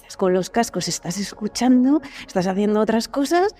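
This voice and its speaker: A woman with a Spanish accent from Spain speaks at an average pitch. She is a guest on a podcast, chatting informally in conversation. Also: expressive, brisk, dark tone. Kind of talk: casual interview chat.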